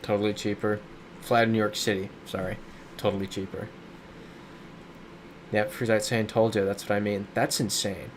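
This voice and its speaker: A man's voice: lowish voice, little pitch movement, normal speed, long pauses, a little hiss or hum. A man live-streaming a game, chatting casually as he reads viewer comments aloud and riffs on them. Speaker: streamer reading chat. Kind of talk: casual live-stream chat while playing a geography game. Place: his home in a big city.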